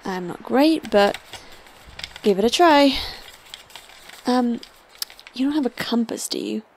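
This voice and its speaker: Girl acting as cautious young survivor woman in an audio drama, highish voice, hesitant, little bit of background noise. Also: animated, slow, a little mellow, poor audio. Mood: hesitant, worried. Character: cautious, practical young female survivor on the run.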